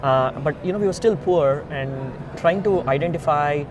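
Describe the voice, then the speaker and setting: A man's voice: moderate pace, a crowd in the background. A man from India with an Indian accent, a founder being interviewed, explaining emphatically step by step in earnest. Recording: noisy outdoor ambience with traffic rumble.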